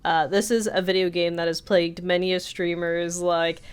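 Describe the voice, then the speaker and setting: A woman with a slightly low voice talks as a podcast co-host. She tells anecdotes in a loose, conversational style, slipping into quotes of what people said. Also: flat delivery, moderate pace, clear, resonant tone. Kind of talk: casual chat, telling anecdotes.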